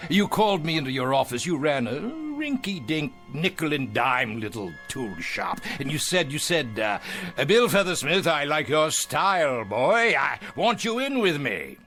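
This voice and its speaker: An actor playing a ruthless self-made business tycoon in an audio drama, drawing out phrases with mocking relish. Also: male voice, fairly high pitch, big pitch swings, moderate pace, fairly bright tone, soft background music. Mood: sly, reminiscing.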